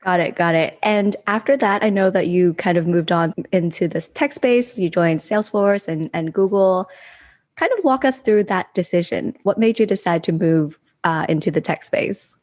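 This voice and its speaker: A woman's voice: fairly low pitch, normal speed, a little mellow. A woman hosting a podcast, reading an upbeat introduction and then asking her guest conversational questions. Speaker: podcast host. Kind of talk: podcast interview.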